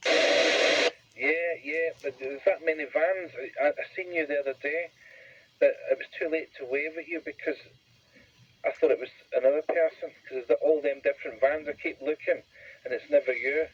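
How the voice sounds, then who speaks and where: A man's voice: on the high side, steady tone, moderate pace, fairly bright tone, husky, no echo. A man chatting casually over two-way radio, repeating himself as he goes. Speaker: CB radio operator. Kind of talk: casual chat. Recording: dull CB radio, faint noise.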